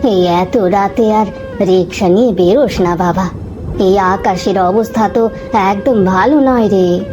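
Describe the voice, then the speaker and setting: A woman playing a tender, worried elderly village mother, pleading gently in a cartoon story. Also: mid-range voice, unhurried, lightly acted. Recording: dull lo-fi sound with background music.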